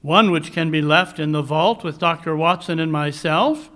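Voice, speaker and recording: An actor playing a courteous, precise, dryly witty English gentleman detective in a live radio mystery. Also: fairly high pitch, moderate pace. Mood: measured, inquiring. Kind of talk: old-time radio drama acting on stage. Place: live stage performance at a conference.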